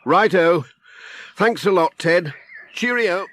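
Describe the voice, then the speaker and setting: A man's voice: sits high, lively intonation, takes it slowly, background noise, pitched above the performer's own voice. A man voicing a cheerful, friendly village postman in a storybook reading, with hearty, jolly exclamations.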